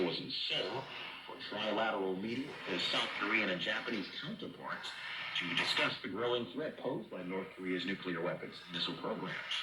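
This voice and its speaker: A male radio anchor reads the news in a low, measured, formal voice. The broadcast comes over shortwave, buried in loud noise with a roomy echo around the words. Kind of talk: scripted news bulletin reading. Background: loud radio static and noise.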